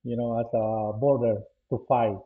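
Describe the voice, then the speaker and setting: A man in his forties from El Salvador with a Salvadoran Spanish accent, speaking English as a second language. He talks haltingly as a podcast guest, often restarting and repeating words. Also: medium pitch, steady tone. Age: 40s. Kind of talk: casual interview answers. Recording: tinny phone-line audio.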